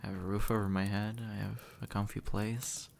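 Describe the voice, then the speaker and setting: A man playing an easygoing, centuries-old demon who was once human, speaking gently and casually to the listener in an intimate audio roleplay. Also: lowish voice, level tone, fairly quick, vocal fry, slight background noise. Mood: matter-of-fact, wistful. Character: easygoing two-century-old male demon, formerly human, reassuring and teasing.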